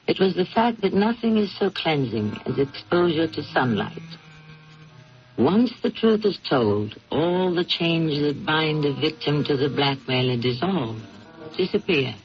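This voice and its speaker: Formal male radio announcer, slightly high voice, declarative; muffled vintage broadcast. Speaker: narrator and announcer. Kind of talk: scripted 1970s radio narration. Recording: dull old radio broadcast.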